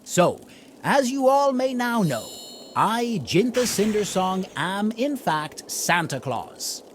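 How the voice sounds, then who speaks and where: High-voiced man telling a lively holiday tale. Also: takes it slowly, slight background noise. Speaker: storyteller in a roleplay story event. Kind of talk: in-character holiday storytelling.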